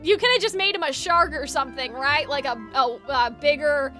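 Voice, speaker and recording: Very high-pitched, bright woman streamer. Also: fairly quick. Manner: animated, exclamatory, frequent filler "like" and swearing. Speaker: game streamer. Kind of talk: casual livestream reaction chat. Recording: game music behind the voice. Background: video game music and dialogue.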